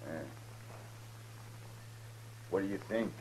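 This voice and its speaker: An actor playing a menacing, lecherous outlaw in a 1950s radio western, halting and muttering as he talks. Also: sits low, warm tone. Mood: sly, idle. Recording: noisy 1950s radio recording with hum.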